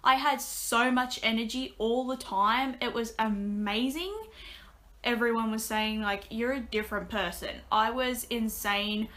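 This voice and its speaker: A young woman chatting casually to her webcam from a small bedroom, her voice a little raspy, full of ums and restarts. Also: on the high side, fairly even intonation, measured pace, pushed and bright. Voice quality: slightly raspy. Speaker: vlogger. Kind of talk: casual vlog update. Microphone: webcam at desk.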